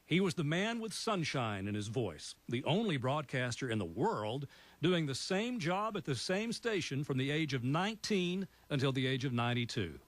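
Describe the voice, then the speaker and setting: A man, a news anchor reading a tribute in a measured way. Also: a little high, very animated, no room sound. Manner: warm, measured tribute delivery. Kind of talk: broadcast news narration.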